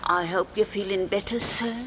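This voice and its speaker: An actress playing a prim, watchful housekeeper in 1940s radio suspense style, deferential with pointed, insistent questions. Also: female voice, medium pitch, steady tone, normal speed, a bit bright, close to an everyday voice. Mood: solicitous, prying. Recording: noisy old radio recording.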